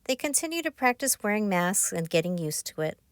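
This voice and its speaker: A woman narrating a children's picture book, reading aloud in simple, clear phrases for young listeners. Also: medium pitch, very animated, fairly quick, no room sound, studio quality. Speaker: author narrating her own children's book. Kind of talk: scripted children's storybook narration.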